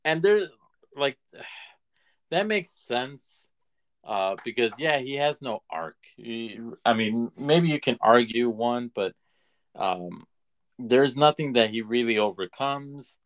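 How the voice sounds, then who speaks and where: A man of average pitch chats casually as a podcast co-host. He is agreeable and a little hesitant, working out his opinions as he speaks. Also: expressive, takes it slowly. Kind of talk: casual chat.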